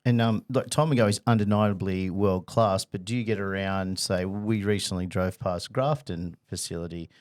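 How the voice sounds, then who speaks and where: A man's voice: low voice, steady tone, moderate pace, few pauses, darkish tone. A man hosting a podcast, chatting in a friendly, casual way and laughing easily. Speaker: podcast host. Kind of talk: casual interview chat.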